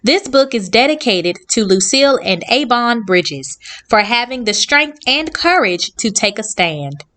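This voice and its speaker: A woman hosting a children's read-along, reading aloud and addressing young listeners in simple, clearly phrased sentences. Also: a little high, fairly bright tone.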